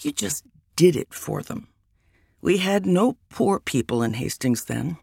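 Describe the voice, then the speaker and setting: Woman narrator for memoir reading, gravelly and animated in pitch, close dry mic. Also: no echo. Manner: unhurried reminiscing narration.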